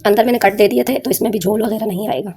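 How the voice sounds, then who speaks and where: A woman's voice: mid-range voice, fast, very noisy. A woman with a sore throat, explaining a craft step by step in an informal, conversational way to viewers. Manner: conversational, addresses viewers with frequent check-in tags. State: sore throat, frequent throat clearing. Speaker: sewing tutorial host.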